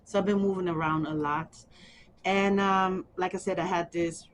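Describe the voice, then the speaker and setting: A middle-aged woman from Germany with a German accent, speaking softly and haltingly with small laughs in an oral history interview. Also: lowish voice, fairly even intonation, a little hiss or hum. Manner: soft, halting, with frequent ums and small laughs.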